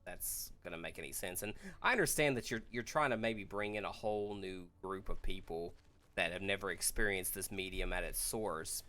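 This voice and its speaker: A man co-hosting a casual discussion in a measured, thoughtful way, often qualifying what he says. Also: deep voice, pushed and bright. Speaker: podcast co-host. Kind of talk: casual discussion of films and games.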